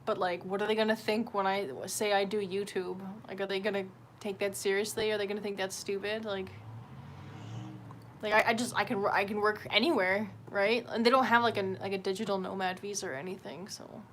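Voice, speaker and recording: A woman livestreamer talks off the cuff in an average-pitched voice, with some background noise around her. Her chat rambles and is candid, peppered with swearing and self-deprecation. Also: flat delivery, brisk, a bit bright. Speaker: livestream host.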